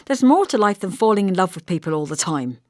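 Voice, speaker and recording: A woman's voice: medium pitch, sing-song, quick pace, a little mellow, no echo, clean studio sound, voice pushed up, close to an everyday voice. A woman voicing an earnest, prickly young woman in audiobook dialogue, indignant, defensive and emphatic. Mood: exasperated.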